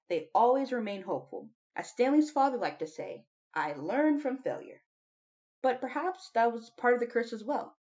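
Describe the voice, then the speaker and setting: Lady reading a kids book out loud, voice goes up and down a lot, kinda muffled lofi audio. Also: mid-range voice. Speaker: audiobook reader. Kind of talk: narrated children's novel reading.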